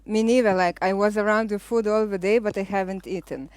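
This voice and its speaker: A middle-aged woman with a Lithuanian accent hosting a live cooking show, chatting casually as she explains each step with frequent pauses. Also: medium pitch, fairly even intonation, a little mellow, clean tone, dry recording.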